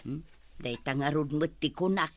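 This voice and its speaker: A woman's voice: low-pitched, moderate pace, natural voice. A woman playing a gossipy, teasing older village auntie in an Ilocano radio comedy drama, with an Ilocano Filipino accent. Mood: disapproving.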